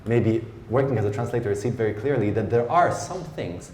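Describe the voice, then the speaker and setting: A man's voice: mid-range voice, expressive, brisk, darkish tone, a little hiss or hum. A man with an Italian accent, a writer and translator, asking questions in a reflective, hesitant way with many restarts. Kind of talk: conversational public Q&A. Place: lecture hall. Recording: echoey hall with faint ambience.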